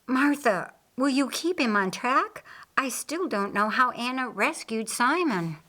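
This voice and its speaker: A woman's voice: a little high, expressive, unhurried, bright, forceful tone, pitched above the performer's own voice, full character voice. Woman reading as an inquisitive, insistent man.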